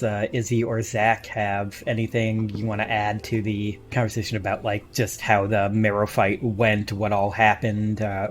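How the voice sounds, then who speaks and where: Low-pitched man in casual chat. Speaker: podcast host and player in a tabletop game.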